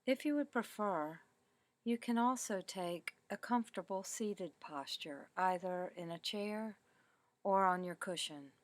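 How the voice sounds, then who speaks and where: A woman leading a guided meditation in a calm, deliberate way, with measured phrases and pauses. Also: medium pitch, dry recording. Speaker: meditation teacher. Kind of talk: guided meditation, body scan narration.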